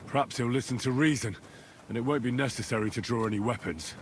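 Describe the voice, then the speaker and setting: A man's voice: mid-range voice, quick pace, a little hiss or hum, natural voice. An actor playing a wary, good-natured young man, a reluctant accomplice with an earnest, cautious manner in a video game. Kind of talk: dramatic video game voice acting.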